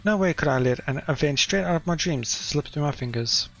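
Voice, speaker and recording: A man's voice: fairly high pitch, animated, brisk, gravelly, fairly bright tone, soft background music. A man reading aloud as a wry, self-deprecating teenage schoolboy narrating his own story from a visual novel, in a dry manner.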